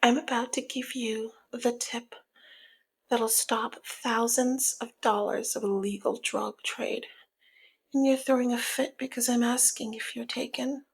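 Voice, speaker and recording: A woman playing a flirty, teasing, dominant female mob boss in an intimate ASMR roleplay, with a husky, breathy, half-whispered voice and a sultry, taunting manner. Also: on the high side, fairly even intonation, heavily acted. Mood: mocking, teasing. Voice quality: breathy, husky, often slipping into near-whisper. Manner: slow, sultry, playful taunting. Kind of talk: ASMR roleplay, intimate one-sided dialogue.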